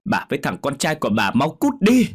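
A man voicing a grieving older mother from a village, who wails and shouts shrilly. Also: sing-song, takes it slowly, breathy edge, full character voice. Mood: furious. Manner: wailing, loud and shrill.

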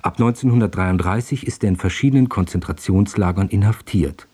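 Low, husky male radio announcer. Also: brisk, warm tone. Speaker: radio announcer introducing a play. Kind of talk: scripted formal announcement.

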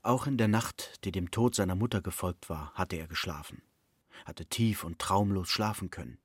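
A man giving measured, formal literary narration in a radio play. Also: sits low, slightly rough, no echo.

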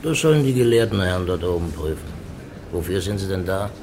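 An actor playing a shrewd onlooker at a trial, making wry, knowing remarks. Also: male voice, sits low, lively intonation, brisk, darkish tone, slight background noise.

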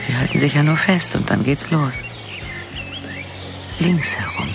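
Radio play casting: a bitter woman gardener, creaky voice, brooding inner monologue over music. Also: low voice, expressive, a little slow. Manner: brooding, musing to herself.

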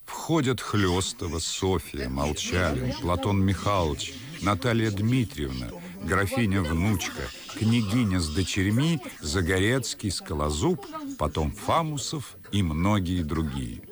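Deep male radio play narrator. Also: very animated, measured pace, crowd noise. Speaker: announcer of stage directions. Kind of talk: scripted narration.

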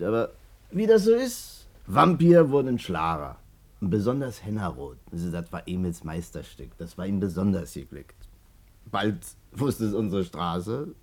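Boastful ladies' man, very animated pitch, insinuating colloquial delivery, vintage radio drama. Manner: colloquial, insinuating, emphatic storyteller. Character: smooth-talking, boastful ladies' man, Berlin salon helper. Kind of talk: 1950s German radio play acting.

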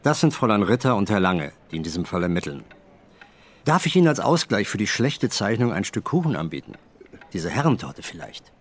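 An actor playing a courteous, coaxing senior police investigator in a radio drama. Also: male voice, fairly low pitch, very animated, fairly quick, husky. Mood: genial.

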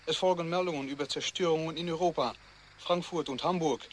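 Guy acting formal war news announcer, kinda high voice, sober bulletin style, radio drama, slight noise. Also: steady tone, brisk, natural voice. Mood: sober, urgent. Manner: formal, list-like delivery. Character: sober male radio newsreader in wartime.

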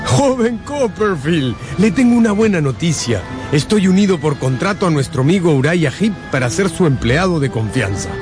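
A florid, good-natured gentleman of middle years in a radio drama. His voice sits very high for a man and swoops grandly, with pompous phrasing over clear music. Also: very animated, moderate pace, few pauses. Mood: pleased, grandiose. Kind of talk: Spanish-language radio drama acting. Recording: music behind the voice.

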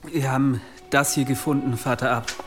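An actor playing a sombre, dutiful monk in an audio drama, speaking briefly and deferentially. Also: male voice, medium pitch, steady tone, normal speed, faint music behind, natural voice. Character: sombre, dutiful monk reporting to his abbot. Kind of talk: German audio drama acting.

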